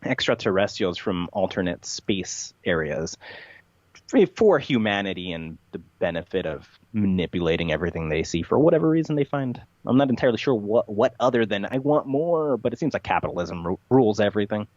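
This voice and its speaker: A man's voice: lowish voice, animated, brisk, a little mellow, dry recording, highs cut off. A man being interviewed in a casual conversation, telling things in a rambling, matter-of-fact way. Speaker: podcast guest, interviewee.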